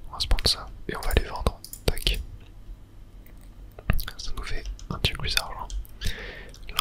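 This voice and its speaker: Hushed whisper, casual gaming ASMR. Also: very high voice. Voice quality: whispering throughout. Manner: casual, thinking aloud. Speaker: gaming video host. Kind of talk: whispered ASMR game commentary.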